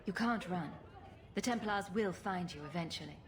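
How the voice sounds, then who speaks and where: A woman acting as a sardonic rogue heroine in a fantasy game. Her voice is on the low side, with dry, teasing delivery. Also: normal speed, a crowd in the background, close to an everyday voice. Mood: teasing, resigned. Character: sarcastic, wry female rogue adventurer champion.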